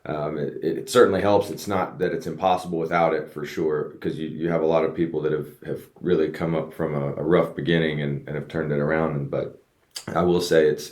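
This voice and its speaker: A man's voice: very deep voice, a little mellow. A man raised in the United States, a race car driver, telling his story earnestly as a guest in a casual podcast interview. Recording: roomy echo.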